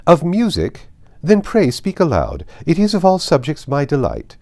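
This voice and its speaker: A man's voice: a little high, huge pitch swings, moderate pace, darkish tone, pitched above the performer's own voice. A man voicing a haughty, overbearing aristocratic lady with an imperious, declamatory delivery in a classic novel reading. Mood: self-satisfied. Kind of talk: classic novel read aloud.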